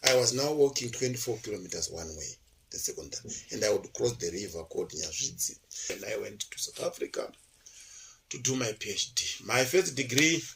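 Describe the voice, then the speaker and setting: A man's voice: medium pitch, animated, a little fast, gravelly, fairly bright tone, slight background noise. A man from Zimbabwe, a university lecturer being interviewed, telling his life story in a chatty, digressive way with many fillers and restarts.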